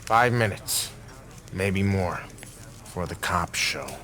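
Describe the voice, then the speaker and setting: An actor playing a restless, world-weary American mobster nearing forty, with blunt streetwise slang, in a crime game. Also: male voice, low-pitched, slow pace, sharp tone, exaggerated voice. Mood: grim, low. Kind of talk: cinematic crime game acting.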